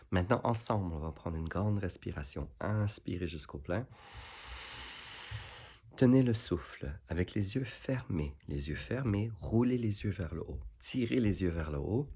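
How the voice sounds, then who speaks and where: Really low husky guy doing a yoga nidra, soothing, takes his time between phrases. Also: dark tone. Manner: soothing, unhurried instructions with pauses. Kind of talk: guided relaxation meditation, speaking to listeners.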